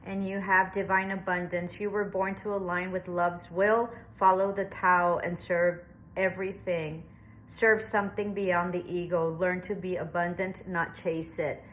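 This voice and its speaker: A woman's voice: on the low side, little pitch movement, a little slow, a bit bright, a little hiss or hum, poor audio. A woman giving a casual tarot reading to camera, chatty and reassuring, thinking aloud with plenty of fillers. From Southwestern United States. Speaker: tarot reader.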